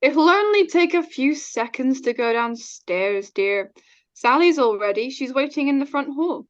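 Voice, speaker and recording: An actress playing a calm, reassuring middle-aged wife and mother in an old-time radio drama. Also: high voice, unhurried. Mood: soothing, brisk. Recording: dull, muffled online recording.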